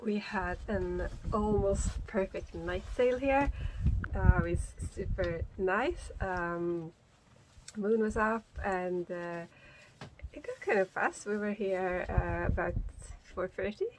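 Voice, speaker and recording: A woman in her thirties, a native Swedish speaker, talks to a camera held close inside a small sailboat cabin. She sounds relaxed and unhurried, thinking aloud with plenty of ums and uhs. Her voice sits at an everyday pitch. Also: slight background noise, rough recording. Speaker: sailing vlogger. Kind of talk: casual vlog talk to camera.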